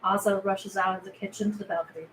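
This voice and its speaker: A woman's voice: mid-range voice, steady tone, quick pace, a bit bright, rough recording. A woman narrator reading screenplay stage directions aloud at a table read. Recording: faint background noise.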